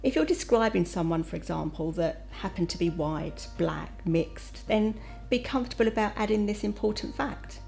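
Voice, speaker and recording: A woman's voice: mid-range voice, expressive, moderate pace, vocal fry, hardly any pauses, darkish tone, faint music behind. A woman speaking candidly and reflectively in a corporate testimonial.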